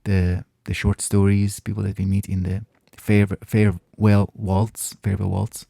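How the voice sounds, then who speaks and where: Host, male, Farsi native, low and gravelly, mellow, reflective and halting, dry close sound. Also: monotone, no echo. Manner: thoughtful, pausing often and restarting phrases. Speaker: channel host answering viewer questions. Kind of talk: casual reflective Q&A monologue.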